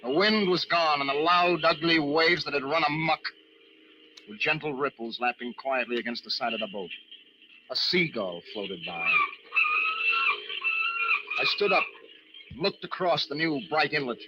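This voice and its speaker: High-voiced, intense lighthouse keeper, old radio. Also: male voice, expressive, a little slow, long pauses, background noise. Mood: drained, somber. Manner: breathless, intense, dramatic storytelling. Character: desperate male lighthouse keeper narrating a storm ordeal. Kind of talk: old-time radio drama acting. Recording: muffled old radio recording with dramatic music.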